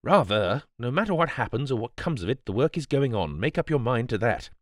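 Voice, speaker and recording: A male narrator plays a young British baronet in a storybook reading. He sounds impulsive and strained, nervously defiant, with a lively, lifted voice in a dry recording. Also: mid-range voice, fairly quick, no echo, voice pushed up, natural voice.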